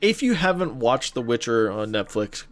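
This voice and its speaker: A man's voice: medium pitch, very animated, moderate pace. A man co-hosting a podcast, chatting casually about films and games in an enthusiastic, emphatic way. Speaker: podcast co-host.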